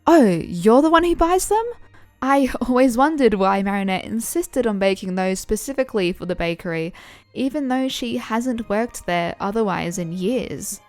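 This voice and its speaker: A woman voicing an excitable, determined young female reporter in a fan fiction audio story, with an eager, animated delivery. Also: fairly high pitch, normal speed, no echo, faint music behind, lightly acted. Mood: surprised, curious.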